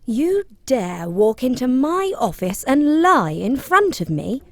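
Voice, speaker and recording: A woman's voice: high-pitched, very animated, slow, no room sound, exaggerated voice. An actress playing a haughty, cold female mine owner who talks down to others. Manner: condescending and cold.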